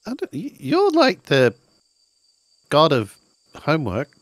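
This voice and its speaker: A man's voice: a little high, wildly swooping pitch, takes it slowly, dry recording, voice pushed up, exaggerated voice. A man playing a cheeky slacker schoolboy who casually teases his friends in an improvised tabletop game. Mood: teasing. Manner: teasing, casual.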